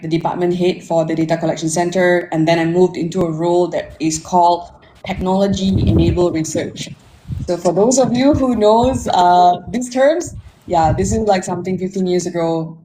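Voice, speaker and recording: A woman's voice: on the low side, dark tone. A forty-year-old woman with a Malaysian accent, talking conversationally about herself to listeners and checking in with them as she goes. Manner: conversational, addresses listeners, tags statements with "right?". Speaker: interview guest, marketing technology consultant. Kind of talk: informal self-introduction and career account. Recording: echoey room with faint background noise.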